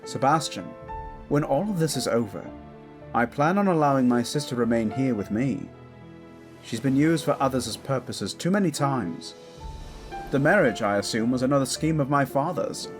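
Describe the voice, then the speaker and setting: A man's voice: medium pitch, animated, normal speed, over music, lightly acted. A man playing an exiled, defiant prince and protective brother in an earnest, dramatic audio drama style. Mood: firm, protective. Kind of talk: audio romance drama acting.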